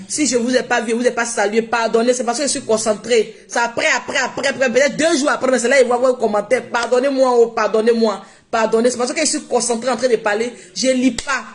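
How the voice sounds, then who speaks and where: A woman's voice: fairly high pitch, steady tone, a little fast, hardly any pauses. Emphatic woman live streaming, non-native French. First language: not French. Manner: emphatic, repeats herself. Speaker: social media live streamer. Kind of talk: casual live-stream monologue.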